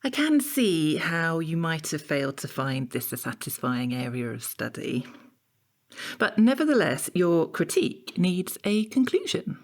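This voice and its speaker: Prim art tutor in an audio comedy, lowish voice, very up and down, formal and cool. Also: female voice, sing-song, heavily acted. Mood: stern. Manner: formal, measured, pedagogic. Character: prim, patient female art tutor, coolly evasive. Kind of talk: comic audio drama acting.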